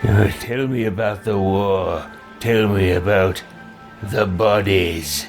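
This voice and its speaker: A man's voice: on the low side, slow. A man improvising a hesitant, blunt fantasy hero while roleplaying over a video game. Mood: awkward. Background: loud game music.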